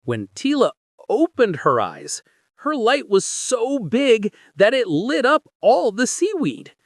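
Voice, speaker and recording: A man narrating a bedtime story in a gentle, soft, unhurried way. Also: extremely high pitch, wildly swooping pitch, dry recording, clean studio sound.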